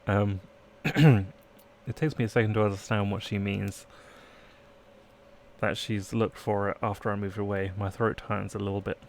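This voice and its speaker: A man's voice: fairly low pitch, steady tone, normal speed, a little hiss or hum. A man live-streaming a let's play, reading game text aloud and chatting in playful asides. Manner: playful asides, trying out accents.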